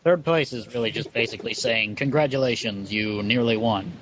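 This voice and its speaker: A man's voice: medium pitch, fairly quick. A man taking part in a casual group podcast chat. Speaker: podcast participant. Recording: muffled, noisy voice chat.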